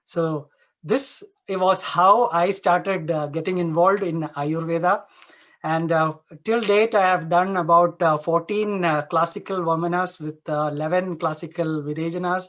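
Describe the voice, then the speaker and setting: A man from Dubai giving an informal conference presentation as an Ayurveda doctor, earnest and halting, often repeating and rephrasing his phrases. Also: a little high, steady tone, fairly bright tone. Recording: dull, lo-fi online conference audio.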